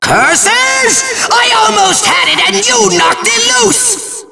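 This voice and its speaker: A man voicing a pompous, tantrum-prone little evil overlord in a video game, shrill and gravelly, ranting theatrically. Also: animated, takes it slowly, pushed and bright, roomy sound, in a large hall, a little hiss or hum, heavily acted. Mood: furious, threatening. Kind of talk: cartoonish video game villain acting.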